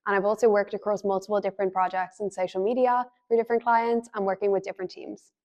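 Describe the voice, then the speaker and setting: Woman early twenties, talks quick, mid pitch, calm and to the point. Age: 20s. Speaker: graduate student. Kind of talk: student testimonial.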